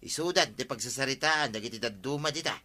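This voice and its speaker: A man's voice: medium pitch, quick pace, pushed and bright, natural voice. A man with an Ilocano accent playing a gossipy, familiar village buddy in a radio comedy-drama. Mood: chatty.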